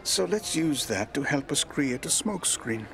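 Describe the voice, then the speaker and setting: An actor playing a smooth, scheming village landlord in a radio soap. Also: male voice, fairly high pitch, sing-song, normal speed, a bit bright, exaggerated voice. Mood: sly, teasing. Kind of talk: naturalistic radio soap acting.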